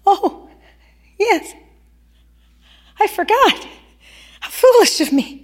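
A woman's voice: very high-pitched, expressive, slow pace, voice pushed up, full character voice. A woman playing a fussy, fretful housewife in a short story, with clipped homely dialogue. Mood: flustered. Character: housebound women chatting fussily over their embroidery.

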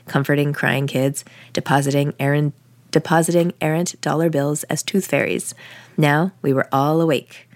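A woman's voice: sits low, dry recording. A woman reading first-person fiction aloud in a wry, conversational way, like an audiobook. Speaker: author reading own novel.

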